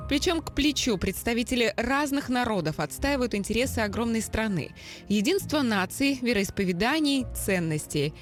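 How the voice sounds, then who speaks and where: A woman reporter reading scripted news narration in a measured, solemn voice-over. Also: fairly high pitch, animated, soft background music.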